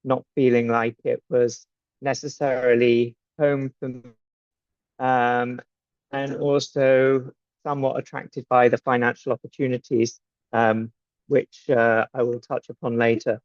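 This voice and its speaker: A man's voice: medium pitch, flat delivery, unhurried, no echo. A man from the UK, introducing himself a little hesitantly as a webinar panellist. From United Kingdom. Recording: dull, lo-fi online call audio.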